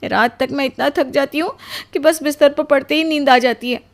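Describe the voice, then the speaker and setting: A woman acts out a young newlywed wife in a cartoon melodrama. The voice is extremely high and plaintive, full of weary complaint at being put upon. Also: brisk. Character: exhausted, put-upon young newlywed bride, aggrieved and tearful. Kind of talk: melodramatic cartoon story acting.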